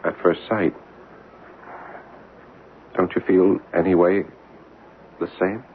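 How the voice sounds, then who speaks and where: Stammering young American soldier, old radio. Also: male voice, deep voice, fairly even intonation, takes it slowly. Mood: shyly smitten. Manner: hesitant, stammering. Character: young American soldier, shy, bumbling, earnest suitor. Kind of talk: old-time radio drama acting. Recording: tinny, hissy old radio broadcast.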